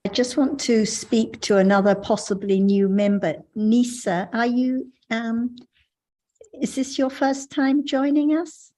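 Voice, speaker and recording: A woman moderating a discussion of Buddhist teaching, speaking in a measured, thoughtful way over a video call. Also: fairly high pitch, fairly even intonation, dark tone. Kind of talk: calm explanation and moderating.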